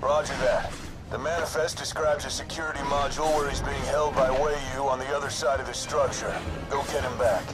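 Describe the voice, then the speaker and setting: An actor playing an urgent, commanding marine who barks forcefully in a video game. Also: male voice, mid-range voice, steady tone, brisk, music in the background, close to an everyday voice. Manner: barking, forceful. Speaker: soldier. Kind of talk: video game battle dialogue.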